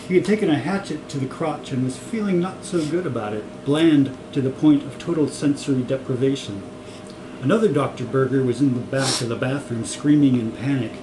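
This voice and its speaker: A man's voice: medium pitch, fairly quick. Man reading a story in an echoey, noisy room. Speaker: reader of a comic novel. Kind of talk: story reading with acted dialogue. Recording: echoey room with clear noise.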